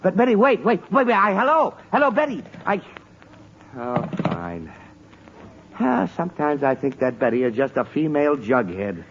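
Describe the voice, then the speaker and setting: A man's voice: a little high, wildly swooping pitch, normal speed. An actor playing an exasperated, short-tempered middle-aged father, flustered and sputtering in vintage radio sitcom style. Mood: flustered, fuming. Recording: dull, noisy old radio recording.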